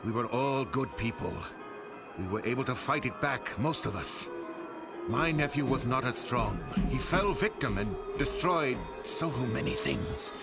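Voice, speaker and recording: A grave old man in a video game drama, speaks slowly and solemnly at a medium pitch. He pleads earnestly, with a deep-felt anguish. Loud music plays behind him. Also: expressive, gravelly. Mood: anguished pleading. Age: older.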